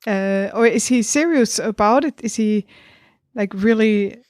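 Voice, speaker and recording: A woman's voice: medium pitch, a little slow, a little mellow. A woman chatting casually as a podcast host, repeating short phrases for emphasis. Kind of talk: casual chat.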